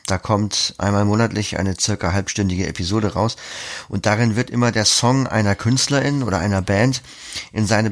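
A man giving articulate, reflective, explanatory answers as an interview guest. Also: on the low side.

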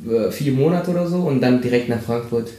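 Relaxed young man from Colombia. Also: mid-range voice, fairly quick. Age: 20s. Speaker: dance coach, guest. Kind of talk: casual interview talk. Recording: roomy echo.

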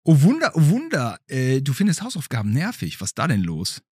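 A man's voice: mid-range voice, wildly swooping pitch, a little fast, darkish tone, slightly rough, no room sound, clean studio sound. A man hosting a podcast, chatting casually and playfully as he interviews a child. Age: adult. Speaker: podcast host.

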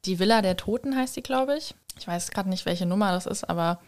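A woman's voice: mid-range voice, a little fast. A woman talking in a casual, informal conversation as a guest on a podcast. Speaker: podcast guest, communication designer.

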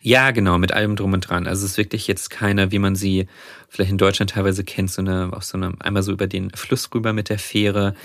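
A man's voice: low voice, little pitch movement, a little fast, gravelly, a bit bright, dry recording, clean studio sound. A man co-hosting a podcast, talking through a story in a chatty way with frequent restarts. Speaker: podcast co-host, presenter of the story. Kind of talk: casual podcast conversation.

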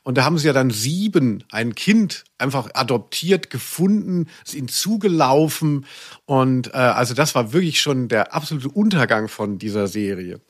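A man's voice: mid-range voice, lively intonation, moderate pace, slightly rough. A man with a German accent hosting a podcast in casual, humorous chat, rambling and teasing. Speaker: podcast host.

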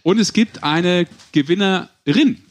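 A man's voice: high voice, slow. Chatty man hosting casual sports talk. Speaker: podcast host.

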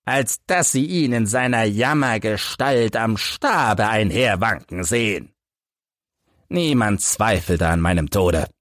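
A man's voice: mid-range voice, no room sound, studio quality, pitched above the performer's own voice. A man voicing an embittered, crippled old ex-soldier who solemnly recounts his suffering in an audiobook story. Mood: bitter, wistful. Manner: solemn, measured, literary delivery. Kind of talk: audiobook first-person storytelling.

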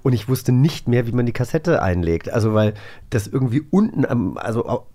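Middle-aged male, mid-range voice, informal and animated conversational delivery. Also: medium pitch, a little fast. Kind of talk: casual chat.

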